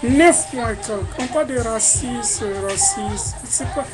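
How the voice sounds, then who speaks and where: A man's voice: extremely high pitch, a little slow. Indignant man on a webcam, music behind. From Africa. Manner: emphatic and indignant, repeats phrases. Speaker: vlogger. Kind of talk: webcam monologue.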